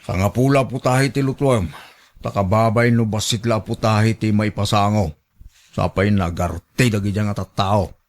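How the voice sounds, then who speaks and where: A man's voice: fairly low pitch, steady tone, moderate pace, natural voice. A man with an Ilocano accent playing a domineering husband who nags and orders his wife around in a radio drama. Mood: bossy, demanding. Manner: domineering, nagging.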